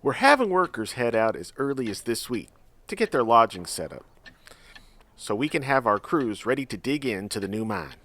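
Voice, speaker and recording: Man playing a lively, jovial frontier mine boss. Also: mid-range voice, normal speed. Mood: businesslike. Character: jovial, avuncular Old West mine boss. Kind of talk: audio drama acting.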